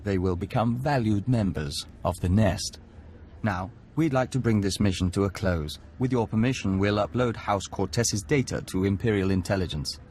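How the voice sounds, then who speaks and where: A man voicing a calm, polite diplomat who speaks formally and evenly for an insect hive mind in a video game. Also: on the low side, a little hiss or hum, natural voice. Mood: serene, pleased. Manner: formal, measured, speaks of himself as we.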